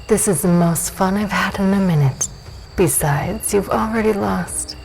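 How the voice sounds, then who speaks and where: A woman playing a confident, teasing dusk elf warrior with a cool, creaky, commanding delivery in a fantasy audio drama. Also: medium pitch, measured pace, darkish tone, faint music behind, rough recording. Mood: amused taunting.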